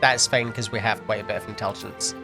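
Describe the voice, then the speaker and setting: A man's voice: fairly low pitch, fairly even intonation, fast talker, gravelly, pushed and bright, soft background music. A man commentating a video game at a casual pace, sniffly, thinking aloud with frequent ums and restarts. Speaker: gameplay commentator. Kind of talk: casual let's-play commentary.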